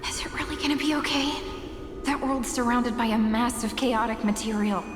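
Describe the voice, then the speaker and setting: A woman's voice: fairly high pitch, normal speed, close to an everyday voice. An actress voicing an anxious, skeptical young woman who keeps raising worried doubts. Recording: echoey game cutscene with music.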